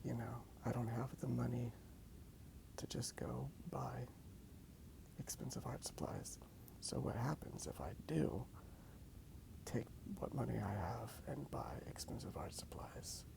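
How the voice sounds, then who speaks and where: A man rambling softly in a breathy ASMR whisper, unhurried and halting as he comments on his drawing. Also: mid-range voice. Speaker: host of a drawing ASMR channel.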